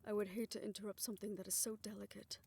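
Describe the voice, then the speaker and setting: A woman playing a composed aunt in a fantasy audio drama, speaking in a measured, deliberate way. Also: mid-range voice, flat delivery, creaky, darkish tone, slight background noise, natural voice. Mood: matter-of-fact. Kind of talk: audio roleplay drama acting.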